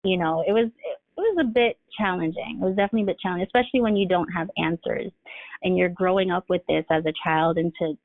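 A woman's voice: fairly low pitch, quick pace, no room sound. A woman from Jersey City, a guest talking openly about her background in a casual, filler-heavy way over a remote call. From Jersey City, USA. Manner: warm, open, lots of fillers. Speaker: guest, artist and singer-songwriter. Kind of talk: casual interview talk. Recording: dry tinny phone-like line.

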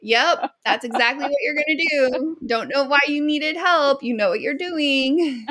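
A woman's voice: high-pitched, unhurried, bright, forceful tone, no room sound. Teasing woman with a slight lilt. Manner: dry, teasing, laughs often. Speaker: podcast co-host. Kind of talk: casual chat.

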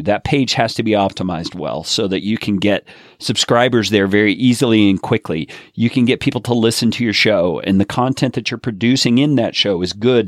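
A man's voice: fairly low pitch, dry recording, clean studio sound. A man hosting a podcast interview, talking with enthusiasm and wrapping up with long explanations for his listeners. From United States. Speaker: podcast host.

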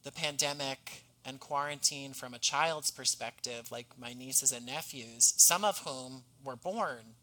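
A man speaking as a podcast guest in a thoughtful conversational interview, articulate and earnest, with measured phrasing. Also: mid-range voice, bright, forceful tone, poor audio. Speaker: podcast guest, audio drama writer.

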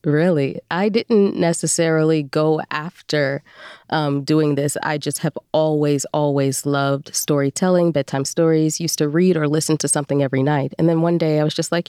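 A woman's voice: low-pitched, fairly even intonation, moderate pace, darkish tone, clean tone, dry recording, studio quality. A woman speaking casually as an interview guest, a voice performer telling her story.

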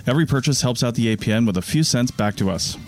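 A man's voice: mid-range voice, expressive, quick pace, darkish tone. A man reading promotional announcements for a podcast network in a plain-spoken, informal way. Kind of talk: promotional read. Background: guitar music.

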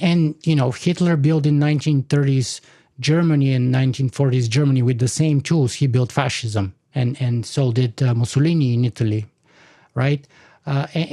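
A man's voice: mid-range voice, mellow, no room sound. A man, making an argument in a conversational podcast discussion in a lecturing way, often restarting his sentences. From Bulgaria. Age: 40s.

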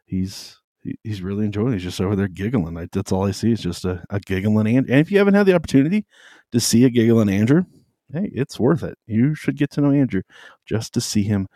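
A man's voice: medium pitch, dark tone, dry recording, clean studio sound. A man co-hosting a faith podcast over a remote call, talking in a loose, rambling way with lots of fillers. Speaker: podcast co-host. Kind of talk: casual faith podcast chat.

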